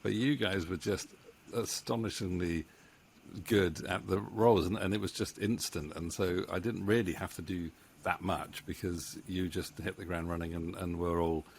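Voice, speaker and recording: A man's voice: low-pitched, fairly even intonation, normal speed, gravelly. A middle-aged British man chatting casually and hesitantly, with a modest, self-deprecating manner, into a close microphone at home. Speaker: audio drama writer and director. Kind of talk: casual interview chat. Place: home room with bookshelves. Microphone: studio mic on boom arm, close.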